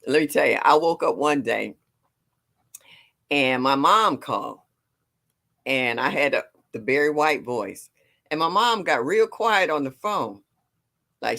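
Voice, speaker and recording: A woman in her sixties from with a deep, low voice, chatting casually on a livestream, greeting viewers and laughing often. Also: fairly bright tone. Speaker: livestream host. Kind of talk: casual chat reading viewer comments.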